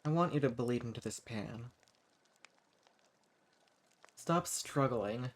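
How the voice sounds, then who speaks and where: A man playing a centuries-old vampire in an audio roleplay, speaking straight to the listener with condescending, taunting menace. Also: mid-range voice. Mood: taunting, commanding. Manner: condescending pet names, taunting, unhurried menace. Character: centuries-old sadistic male vampire, coolly mocking and possessive. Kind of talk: scripted audio roleplay spoken directly to the listener.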